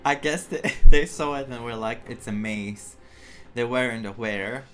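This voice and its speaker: A man's voice: mid-range voice, measured pace, a bit bright. A man giving casual playthrough commentary, thinking aloud with joking asides. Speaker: gaming content creator. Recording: game music behind voice.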